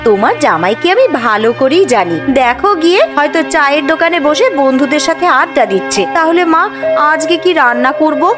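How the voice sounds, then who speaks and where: A woman voicing a worried, caring young cartoon housewife with a sharp tongue and a questioning manner. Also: high voice, animated, fairly quick, music in the background. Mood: fretful, chatty. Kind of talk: cartoon voice acting.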